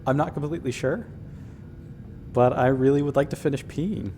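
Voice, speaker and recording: A man's voice: medium pitch, moderate pace, heavy background noise. Wry, casual male player. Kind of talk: in-character roleplay chat.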